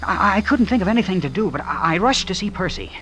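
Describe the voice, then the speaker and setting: Earnest young man, animated and stammering. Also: high voice, fast talker. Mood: wistful, narrating. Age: young adult. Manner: hesitant, stammering. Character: earnest, lovestruck young man, nervous and indignant. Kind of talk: old-time radio drama acting with narration. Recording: noisy vintage radio broadcast recording.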